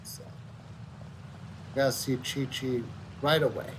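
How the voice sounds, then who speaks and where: A man's voice: level tone, slow pace, noisy background. A man giving casual, hesitant commentary as he streams a video game. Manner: hesitant, low-key. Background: game music.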